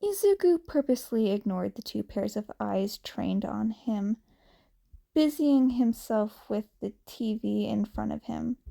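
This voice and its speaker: A woman's voice: on the high side, animated, unhurried, creaky, mellow. A woman narrating a fan fiction story as an audiobook reader. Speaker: podfic narrator.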